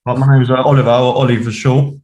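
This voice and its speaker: A man's voice: mid-range voice, flat delivery, fast, a little mellow. A man from London giving a brief, matter-of-fact introduction on a video call.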